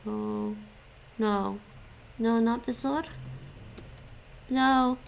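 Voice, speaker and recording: A woman's voice: on the high side, steady tone, drawn-out words, gravelly, slight background noise. A young woman chatting casually with stream viewers while she studies languages. She stops and restarts often and laughs a lot. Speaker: live streamer studying languages.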